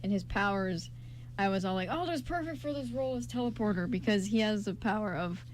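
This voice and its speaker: A woman's voice: medium pitch, fairly quick. A woman co-hosting an amateur radio show in casual, informal, rambling chat. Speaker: radio show co-host and writer. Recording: amateur recording with background noise.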